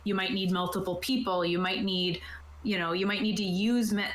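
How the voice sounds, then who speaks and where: A woman's voice: medium pitch, level tone, moderate pace. Woman game master in an echoey room. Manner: informal, conversational. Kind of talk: casual explanation of tabletop game rules. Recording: roomy, echoey voice sound.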